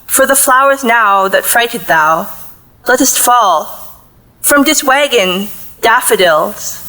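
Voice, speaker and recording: Woman playing a slow, bright-voiced anxious mother. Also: mid-range voice, low-quality recording. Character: anxious, possessive plant-tending mother of a teenager. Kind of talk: contemporary audio play acting.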